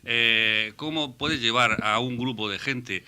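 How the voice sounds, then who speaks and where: A man from Murcia, a panelist on a radio debate show, who talks in a halting way and often restarts his words. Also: medium pitch, bright, forceful tone, smooth. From Murcia, Spain. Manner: halting, repeats and restarts words while reasoning.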